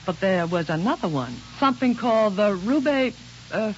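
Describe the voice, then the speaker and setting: A woman plays a fussing, no-nonsense mother with animated, up-and-down intonation. She scolds briskly in a radio play, on a dull and hissy vintage recording. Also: mid-range voice, natural voice. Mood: disapproving. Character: scolding, fussing, practical small-town mother, middle-aged woman. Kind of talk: old-time radio drama acting.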